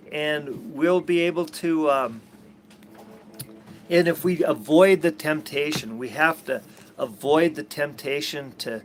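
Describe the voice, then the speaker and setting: A man from Minnesota with an Upper Midwest American accent, talking casually and haltingly from his sailboat, full of restarts and blunt asides. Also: fairly high pitch, slight background noise. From Minnesota, USA. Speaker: sailing vlogger. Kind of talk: rambling casual vlog chat. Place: outdoors on a sailboat.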